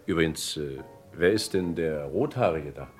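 A practical, gruff police detective in a radio drama. The voice is deep, with lively rises and falls. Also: male voice, moderate pace, faint music behind. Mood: sober. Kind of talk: German crime radio play acting.

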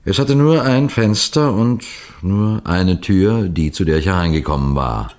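Composed, very deep-voiced police detective. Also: male voice, lively intonation, a little mellow. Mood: measured, suspenseful. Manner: measured, precise, deliberate storytelling. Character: composed, clever police detective recounting his case. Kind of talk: 1960s German radio mystery narration.

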